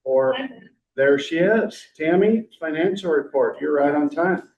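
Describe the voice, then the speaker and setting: A man's voice: a little high, expressive, a little slow, darkish tone, highs cut off. Male meeting chair, casual and talkative, heard from a distant mic in an echoey room. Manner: chatty, rambling, with light joking. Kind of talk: council meeting discussion.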